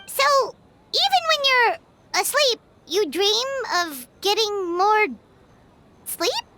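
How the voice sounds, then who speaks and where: A woman voicing a small, excitable childlike fairy companion in a video game, squeaky and chirpy, with exaggerated delivery. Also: slow, very noisy, exaggerated voice. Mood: curious. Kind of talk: animated video game dialogue. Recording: background music.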